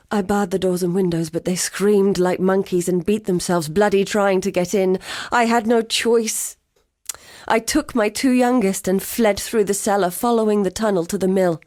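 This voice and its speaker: Woman playing a slow-spoken, haunted village mother. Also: mid-range voice, a little mellow, no room sound, clean studio sound, natural voice. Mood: distraught. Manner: slow, grave, deliberate storytelling. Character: haunted, grief-stricken village wife and mother, fearful, devout. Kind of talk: dramatic video game journal narration.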